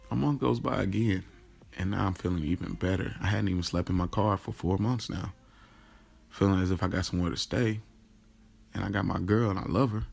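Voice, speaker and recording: A young man in love, his low voice thick with gravelly fry. He confides his story in an audio drama in loose, slangy talk, acting out the dialogue as he goes, with music underneath. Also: darkish tone, heavily acted. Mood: happy, smitten. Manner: informal, slangy, acts out quoted dialogue. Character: lovestruck young man confiding his secret office romance. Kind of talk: first-person audio drama monologue, conversational storytelling.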